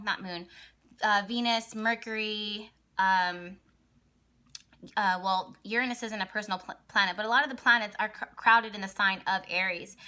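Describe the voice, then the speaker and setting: A young adult woman hosting an astrology video channel, hoarse and raspy today from a partly lost voice, talking in an excited, rambling way with many fillers and restarts. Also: mid-range voice. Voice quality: raspy, voice cracks at times. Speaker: astrology vlogger and channel host. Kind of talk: casual astrology talk to camera.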